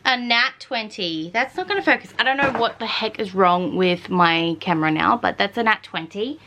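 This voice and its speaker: An Australian woman in her twenties, chatting casually and informally in an excitable way, like a book vlogger. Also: medium pitch, normal speed, noisy background. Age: 20s. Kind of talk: casual chatty vlog talk.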